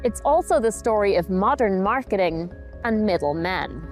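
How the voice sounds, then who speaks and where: A woman reporter giving clear, direct scripted narration for a news piece. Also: mid-range voice, lively intonation, moderate pace, faint music behind.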